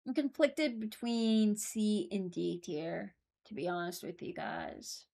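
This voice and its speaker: A woman's voice: medium pitch, animated, slow pace, gravelly, warm tone. A woman hosting a casual reaction video, speaking informally and thinking aloud as she gives her opinions. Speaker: video host ranking game voice lines.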